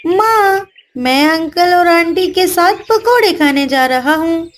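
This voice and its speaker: A woman's voice: very high voice, lively intonation, takes it slowly, voice pushed up, exaggerated voice. A woman voicing an innocent, gullible little boy bird in a children's cartoon, childlike and earnest. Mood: eager. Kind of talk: Hindi children's cartoon voice acting.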